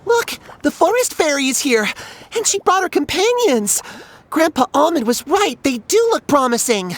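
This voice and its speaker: Animated little forest critter. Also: female voice, high voice, normal speed. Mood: eager, polite. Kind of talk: animated game voice acting. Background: music.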